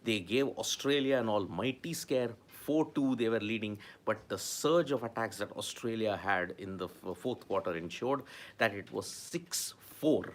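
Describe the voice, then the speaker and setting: A man's voice: lowish voice, unhurried. A man with an Indian English accent presenting a sports news roundup, stressing key words and repeating them for emphasis.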